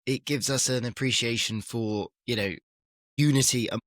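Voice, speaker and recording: A man of middle pitch talks as a guest scholar in a relaxed conversation. He explains with patient clarity and pushes his voice up to stress a point. The sound is dry and close. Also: normal speed, dry recording. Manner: explanatory, with emphatic rises and light laughs. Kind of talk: casual conversation.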